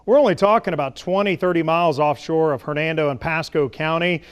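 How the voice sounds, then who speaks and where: A man's voice: on the high side, a little fast, smooth, highs cut off. A man giving a broadcast weather forecast as a TV meteorologist, explaining with folksy analogies.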